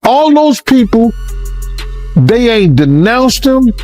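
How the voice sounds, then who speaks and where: A man's voice: very high voice, big pitch swings, takes it slowly, a little mellow, faint music behind. A man giving passionate, opinionated commentary, emphatically repeating his phrases.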